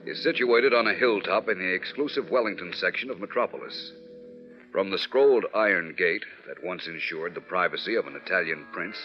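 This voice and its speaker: A male announcer narrates an old radio serial. His low voice is formal, deliberate and dramatic. The recording is muffled, with music playing behind him. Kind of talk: 1940s radio scripted narration.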